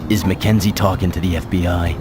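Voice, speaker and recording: Actor as a low-voiced laconic tough wheelman. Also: steady tone, quick pace, over music, natural voice. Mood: cool, guarded. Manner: laconic, understated. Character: terse, tough undercover wheelman, cool and guarded. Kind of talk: dramatic video game cutscene acting.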